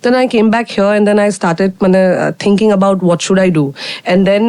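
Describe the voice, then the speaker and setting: A young woman with a husky voice with a low baritone edge. She is a singer talking in a rapid, chatty way, often saying "like" and "you know". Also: a little mellow, dry recording. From Guwahati, Assam, India. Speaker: independent singer and co-host. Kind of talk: casual conversation.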